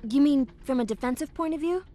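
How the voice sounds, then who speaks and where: A woman's voice: high-pitched, quick pace, dark tone, lightly acted. A woman playing a serious young rebel in a fantasy video game cutscene. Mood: puzzled.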